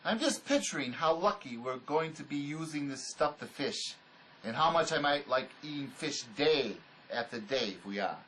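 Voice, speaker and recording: A man acts out a wary escaped convict and robber in a drama, gruff and sarcastic. His pitch sits a little high and swings widely. There is faint noise on the recording. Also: lively intonation, normal speed, fairly bright tone, poor audio. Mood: sardonic. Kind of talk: dramatic script performance.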